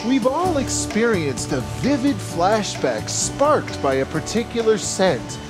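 A man's voice: high voice, very animated, takes it slowly. A man in his forties hosting a cooking show, talking with playful enthusiasm straight to the camera. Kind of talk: scripted cooking show presenting. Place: home kitchen set and grocery store. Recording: music bed behind voice. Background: background music.

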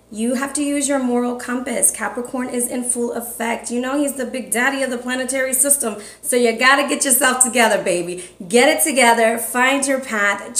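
A woman's voice: fairly high pitch, fairly bright tone. A woman in her thirties, an upbeat tarot reader talking casually to her viewers in a small room. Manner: upbeat, chatty, calls viewers family and fam. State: hoarse, losing her voice. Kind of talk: casual monthly tarot reading vlog. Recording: echoey room.

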